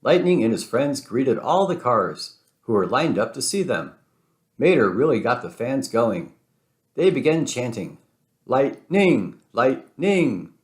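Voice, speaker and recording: A man narrating a children's storybook aloud in an expressive read-aloud style. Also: mid-range voice, unhurried. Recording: roomy, echoing sound.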